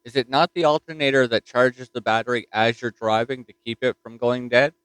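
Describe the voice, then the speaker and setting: Man, newfoundland accent, ham radio chat, smooth clear voice, dry close sound, folksy. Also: mid-range voice, steady tone, fairly quick, a bit bright, no echo, low-quality recording. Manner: chatty, folksy, restarts and filler words. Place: home radio shack. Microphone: desktop mic.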